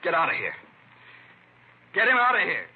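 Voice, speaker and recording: A man's voice: a little high, huge pitch swings, moderate pace. An actor playing a stern, middle-aged American father at the end of his patience, in the style of old-time radio crime drama. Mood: angry, demanding. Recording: tinny, hissy vintage radio broadcast.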